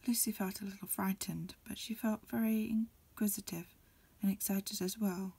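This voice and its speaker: A woman reading a storybook aloud as ASMR in a breathy, mostly whispered voice, a little hesitant, with small stumbles. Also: mid-range voice, fairly even intonation, normal speed, dark tone. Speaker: amateur reader. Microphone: close recording, lo-fi home setup.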